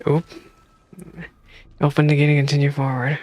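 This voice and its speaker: A woman's voice: low-pitched, little pitch movement, moderate pace, a little mellow, no echo, faint music behind. A woman streaming a game, casually reacting and reading the on-screen text aloud on a close microphone.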